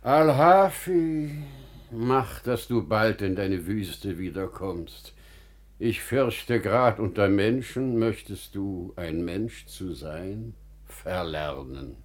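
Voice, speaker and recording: An actor playing a wise, kindly, wry merchant in a classical radio drama, with measured, deliberate theatrical phrasing. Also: male voice, fairly low pitch, expressive. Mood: concerned, urgent.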